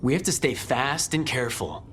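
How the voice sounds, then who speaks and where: A man playing a resolute adventurer and leader in a fantasy video game, speaking in a measured, earnest way. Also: mid-range voice, big pitch swings, fairly bright tone, slight background noise. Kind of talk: acted game dialogue. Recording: game audio with clear background ambience.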